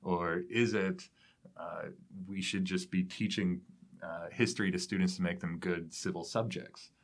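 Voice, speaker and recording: A man with a low-pitched voice hosts a scholarly interview. He talks conversationally and thoughtfully, pausing to find his wording as he shapes questions and observations. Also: fairly even intonation. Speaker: podcast host, educator.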